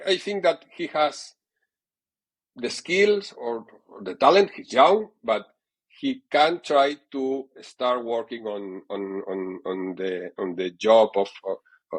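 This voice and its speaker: Halting catalan-accented man. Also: medium pitch, low-quality recording. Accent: Catalan Spanish. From Catalonia, Spain. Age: middle-aged. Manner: halting, many fillers and repeated words. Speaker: TV sports director, guest. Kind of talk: interview answers.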